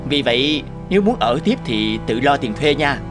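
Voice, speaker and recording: An actor playing a smug, callous young playboy boyfriend in an animated drama, with a slick, mocking manner. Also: male voice, mid-range voice, normal speed, music in the background, close to an everyday voice. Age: young adult.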